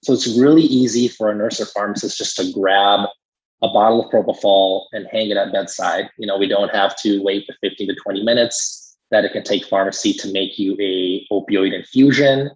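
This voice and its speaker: A man's voice: on the low side, fairly even intonation, moderate pace, slightly rough, dull audio. An American man giving a conversational medical explanation in an informal, explanatory manner, like a podcast expert.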